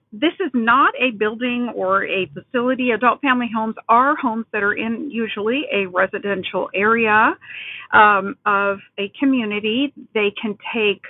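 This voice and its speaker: A woman's voice: a little high, dry recording, phone-quality sound. A middle-aged woman, a nurse, explaining in a friendly way and asking practical questions as she tours a care home. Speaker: nurse host. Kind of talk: informative vlog narration and tour questions.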